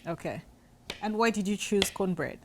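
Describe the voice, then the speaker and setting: A woman's voice: medium pitch, animated, moderate pace, a little hiss or hum. A woman from western Uganda, hosting a casual cooking-show chat and eagerly asking lots of short questions. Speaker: host.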